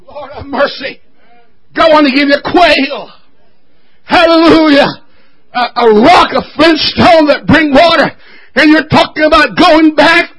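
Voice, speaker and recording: A man preaching an impassioned sermon in church, shouting with his voice breaking from emotion. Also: very high-pitched, a little slow, rough recording. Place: church service.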